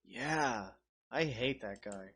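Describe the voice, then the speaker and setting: A man streams in casual chat, with a lively pitch that swings up and down. He rambles and mocks what he is watching, dry and sarcastic. His pitch sits in a middle range. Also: slow pace, husky. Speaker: streamer reacting to a video.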